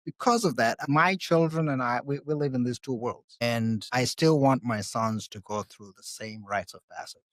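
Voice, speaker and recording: A man from Tanzania, a Swahili speaker, talking as a guest on a podcast in a measured, reflective way. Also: mid-range voice, no room sound. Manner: measured and reflective, pausing to pick words. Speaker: podcast guest, social work scholar. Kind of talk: conversational interview. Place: live recording at a conference.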